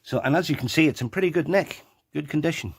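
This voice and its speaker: A man's voice: fairly high pitch, quick pace, slightly rough. Man, casual explanatory talk. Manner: explanatory, repeats and rephrases key points. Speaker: video presenter showing a radio collection. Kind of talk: casual hands-on demonstration, unscripted. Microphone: handheld phone.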